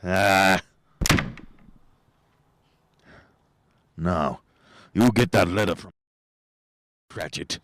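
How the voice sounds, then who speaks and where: A man playing a cold, bad-tempered, miserly old businessman in a radio play, gruff and scornful with barking outbursts. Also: sits low, slow, fairly bright tone, dry recording, studio quality. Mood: curt, demanding. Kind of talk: radio play acting, 1930s adaptation.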